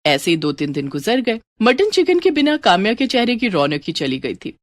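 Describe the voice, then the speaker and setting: A woman's voice: medium pitch, big pitch swings, normal speed, dry recording, clean studio sound. A woman reading scripted narration as a storyteller.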